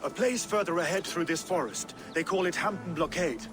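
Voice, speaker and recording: An actor playing a proud, vengeful Viking chieftain in a video game, gruff and commanding, with game music behind. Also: male voice, high voice, a little fast, close to an everyday voice. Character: vengeful Danish warlord, proud and fierce.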